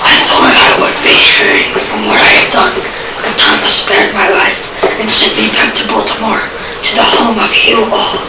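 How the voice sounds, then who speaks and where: A woman reading scripted narration for an amateur readers' theater in a halting way. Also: medium pitch, sing-song. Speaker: student narrator. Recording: echoey room from far off, loud noise.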